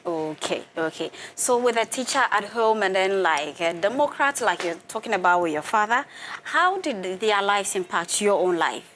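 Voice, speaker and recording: A woman's voice: mid-range voice, expressive, normal speed, fairly bright tone, slight background noise. Woman hosting a TV interview. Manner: frequent short backchannels, encouraging. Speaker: TV show host.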